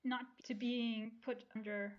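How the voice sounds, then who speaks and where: A woman's voice: fairly high pitch, flat delivery, a little slow. A woman guest in a casual interview, explaining in loose, self-correcting bursts, on slightly lo-fi sound. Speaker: voice actor guest. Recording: slightly dull, lo-fi microphone sound.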